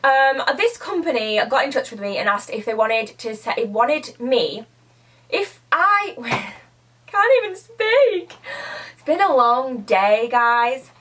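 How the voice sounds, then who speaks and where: A woman's voice: on the high side, big pitch swings, slow pace, a bit bright. A young woman with a slight sniffly cold, chatting casually through a nail tutorial from her bedroom. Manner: chatty, bubbly, restarts mid-sentence. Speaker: beauty YouTuber. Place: bedroom filming set, indoors.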